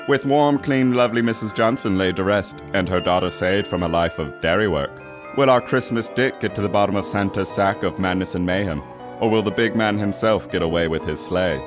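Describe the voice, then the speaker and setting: A man's voice: low voice, close to an everyday voice. A man playing a grandiose radio serial announcer, speaking in a theatrical, portentous way. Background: music.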